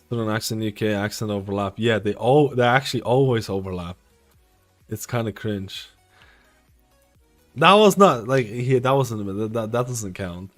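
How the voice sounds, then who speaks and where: Male game streamer, lowish voice, opinionated off-the-cuff commentary with casual swearing, music behind. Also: normal speed. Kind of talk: casual live gaming commentary.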